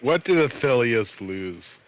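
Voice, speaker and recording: A man's voice: medium pitch, very animated, slow pace, poor audio. A man talking casually as a player in a tabletop game.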